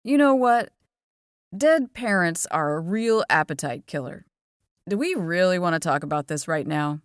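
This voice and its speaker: A woman reading the part of a relaxed, slightly wry teenage boy who plays in a band, in a lightly lowered voice. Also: moderate pace, no echo, clean studio sound. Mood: deflecting. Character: teenage boy, easygoing, laid-back musician.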